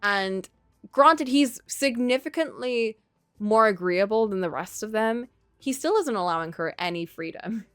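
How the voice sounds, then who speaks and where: A woman's voice: mid-range voice, animated, a little slow, a bit bright, clean tone, dry recording, soft background music. Dry close-sounding woman streamer, casual commentary. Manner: conversational, opinionated, thinking aloud. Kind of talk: casual live-stream commentary on a story game.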